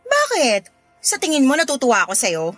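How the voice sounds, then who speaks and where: A woman's voice: high-pitched, wildly swooping pitch, fairly quick, a bit bright, no echo, soft background music, exaggerated voice. An actress with a Filipino accent playing a sarcastic, spiteful, unrepentant neighbour woman in a radio drama. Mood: irritated, taunting. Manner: mocking, flippant, dismissive.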